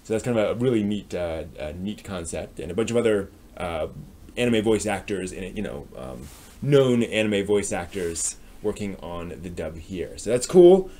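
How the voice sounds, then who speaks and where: An American man with a fairly low voice hosts a live stream news segment. His delivery is casual and unscripted, with a hesitant, halting flow as he thinks aloud. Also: lively intonation, mellow. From United States. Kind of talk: casual anime news rundown.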